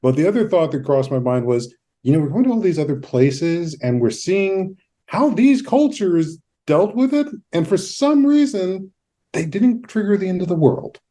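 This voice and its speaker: A man's voice: high-pitched, very animated, normal speed, warm tone. A man hosting a conversational podcast interview, thinking aloud in meandering, thoughtful phrases. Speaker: podcast host.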